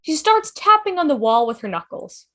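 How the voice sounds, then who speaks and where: A woman's voice: high voice, very animated, normal speed, fairly bright tone. A woman reading scripted stage directions as narrator of a table read.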